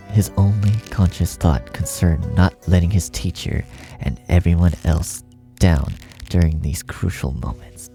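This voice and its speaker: Very dark, gravelly low-voiced man narrating. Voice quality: gravelly, often dropping to breathy near-whisper. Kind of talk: scripted dramatic narration of horror fan fiction.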